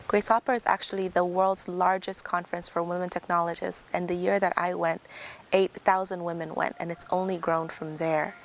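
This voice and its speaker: Low, gravelly-voiced young woman from Tanzania. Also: little pitch movement, moderate pace, a little hiss or hum, low-quality recording. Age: young adult. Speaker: software engineer giving a talk. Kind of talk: prepared talk. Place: outdoors.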